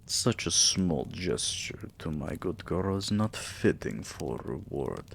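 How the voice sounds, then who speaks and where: Man as a very deep, slow, doting vampire king. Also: lively intonation, vocal fry, slight background noise, heavily acted. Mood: doting, commanding. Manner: soothing, intimate, slow and murmuring, often whispering. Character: doting, possessive vampire king, tender and dominant. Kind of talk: ASMR romantic roleplay.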